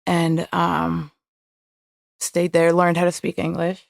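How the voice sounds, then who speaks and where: A woman's voice: fairly low pitch, monotone, takes it slowly, creaky, dry recording, clean studio sound. A young woman whose first language is Hebrew, a podcast guest talking candidly and reflectively about her past. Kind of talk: candid personal interview.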